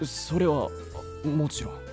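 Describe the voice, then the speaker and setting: A man's voice: on the high side, slow pace, music in the background. An actor playing a proud, awkward but kindhearted young man in an anime-style voice drama, blunt and easily flustered. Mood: awkward concern. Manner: blunt, rough casual speech, easily flustered.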